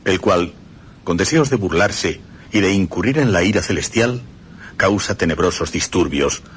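Man, low voice, grave deliberate reading, faint hiss behind. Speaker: reader of a quoted text. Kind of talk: dramatic radio reading.

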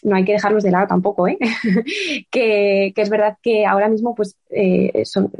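A woman's voice: medium pitch, normal speed, darkish tone, no room sound. A woman speaking in a casual interview, chatty and hesitant, with many fillers. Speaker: computational linguist guest. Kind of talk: conversational podcast interview.

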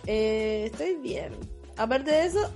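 A woman's voice: on the high side, lively intonation, slow, vocal fry, warm tone, soft background music. A young adult woman with a Chilean accent and a sore throat, co-hosting a casual, slangy podcast chat over a video call. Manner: informal, slangy. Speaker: podcast host. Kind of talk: casual chat among friends.

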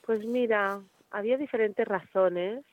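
A woman talks as a guest, thoughtful and hesitant, pausing often as she picks her words. Her voice comes through a narrow, phone-like line with no room sound at all. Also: mid-range voice, dry recording. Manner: thoughtful, hesitant, many pauses and fillers. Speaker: singer, guest. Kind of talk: conversational interview answers. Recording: tinny dry phone-like line.

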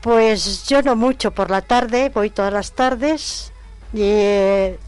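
A woman talks about her allotment on a radio show. Her voice sits at an ordinary middle pitch. She answers in short, simple, homely sentences. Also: takes it slowly, darkish tone, smooth. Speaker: community gardener. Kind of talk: radio interview guest.

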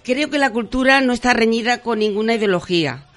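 A woman's voice: a little high, fairly quick. An older woman hosts a radio interview from the studio. She talks in a hesitant way, full of fillers and restarts. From Andalusia, Spain. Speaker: radio host.

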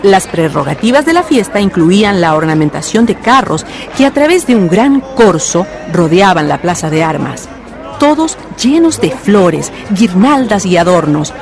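A woman narrating a historical radio drama in a measured storytelling manner. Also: fairly low pitch, very animated, crowd noise. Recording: radio narration over music. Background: background music.

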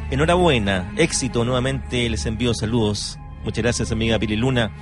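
A man's voice: medium pitch, brisk. A man with a Chilean accent hosting casual radio talk, politely and hesitantly searching for words. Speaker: radio host. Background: soft music.

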